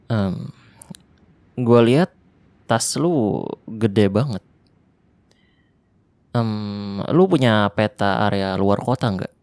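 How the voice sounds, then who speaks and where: A soft-spoken, lonely young survivor of a zombie apocalypse, played by a man in an ASMR roleplay. He talks slowly and intimately in Jakarta slang, with a somewhat low voice. The sound is close and bone-dry, with no room echo. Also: smooth. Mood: hushed. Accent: Jakarta colloquial Indonesian.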